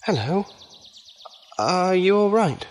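Man acting a slow, shy wandering musician. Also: high voice, big pitch swings, a little hiss or hum, full character voice. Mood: concerned, curious. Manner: halting, hesitant. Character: gentle, shy, lovelorn male wandering musician. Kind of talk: audio drama acting.

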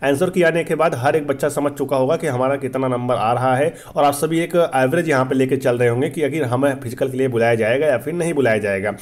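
A man's voice: medium pitch, fairly quick, hardly any pauses. A man hosting an explainer for students, repeating points emphatically and often checking that listeners follow. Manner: repetitive, emphatic explaining with frequent check-in tags. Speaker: host of exam-update channel.

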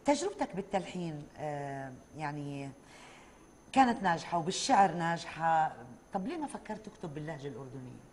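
A woman's voice: low voice, sing-song, fast, a little hiss or hum. A woman co-hosting a TV talk show, chatting with a singer and chiming in with approving remarks. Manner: warm affirming interjections. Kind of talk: casual talk show chat. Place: large studio set, indoors.

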